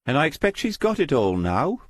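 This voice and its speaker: Man putting on a tart older woman, pitched up. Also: huge pitch swings, fairly quick, dry recording, clean studio sound. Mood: tart disapproval. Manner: curt, scornful.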